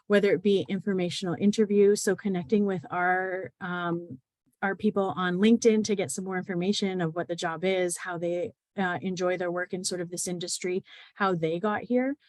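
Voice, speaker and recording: A woman's voice: lowish voice, no echo. A woman presenting slides in a webinar, reading through lists of items with frequent ums. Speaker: company presenter.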